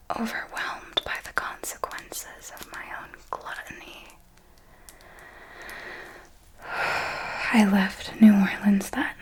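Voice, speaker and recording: A woman whispering an ASMR roleplay as a darkly elegant, possessive vampire mentor, telling it in a deliberate, theatrical way. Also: mid-range voice, poor audio. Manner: slow, deliberate, theatrical storytelling.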